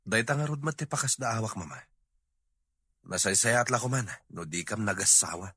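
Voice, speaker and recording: A man playing a frustrated, dutiful husband longing for children in an audio drama, earnest and complaining, with an Ilocano accent and a husky voice. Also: on the low side, expressive, moderate pace, pushed and bright, dry recording, clean studio sound, full character voice. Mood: regretful.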